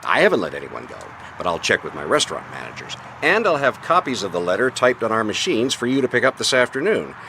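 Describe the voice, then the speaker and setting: A man playing a brisk, self-assured restaurant tycoon in a radio detective drama, emphatic and quick to object. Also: on the low side, big pitch swings, bright, forceful tone, slight background noise, exaggerated voice. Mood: businesslike.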